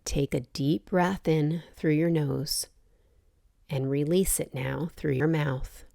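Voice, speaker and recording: A woman leading a guided relaxation meditation as a yoga nidra teacher, speaking in a soothing, gentle, measured way with pauses. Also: sits low, fairly even intonation, gravelly.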